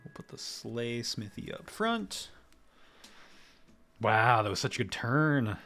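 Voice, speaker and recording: Man with creaky voice, thinking aloud. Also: mid-range voice, sing-song, slow, pushed and bright, soft background music. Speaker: video game streamer. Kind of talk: casual live gameplay commentary, thinking aloud.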